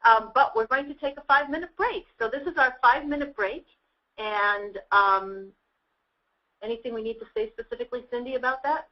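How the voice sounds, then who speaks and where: Higher-voiced woman presenting, muffled audio. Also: brisk. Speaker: workshop presenter. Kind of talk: informal presentation to a group. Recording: muffled, band-limited webinar audio.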